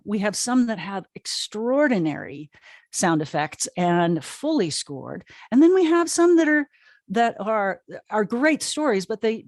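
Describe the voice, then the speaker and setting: A woman's voice: mid-range voice, no room sound. Woman guest chatting and explaining stuff, mid voice, emphatic, repeats herself a bit, really dry close audio. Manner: emphatic, repeats words as she searches for phrasing. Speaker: interview guest, audio drama company co-founder. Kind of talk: casual conversational explanation.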